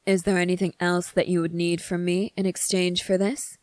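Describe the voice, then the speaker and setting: Woman as a low-voiced, smug young prince. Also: level tone, no echo, clean studio sound, lightly acted. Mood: calm, businesslike. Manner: measured, smug, formal, teasing delivery. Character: cunning, self-assured young male prince bargaining with a dragon. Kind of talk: ASMR roleplay acting.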